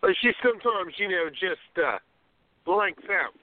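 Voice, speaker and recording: A man's voice: high-pitched, lively intonation, a little slow, phone-quality sound, a little hiss or hum. An actor playing an awkward, bemused younger man in a radio play, hesitant and incredulous.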